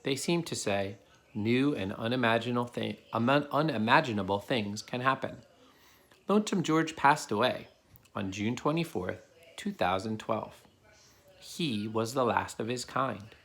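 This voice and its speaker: A man's voice: medium pitch, measured pace, fairly bright tone. A man reading a children's picture book aloud as a father would to his child, in a plain storytelling way.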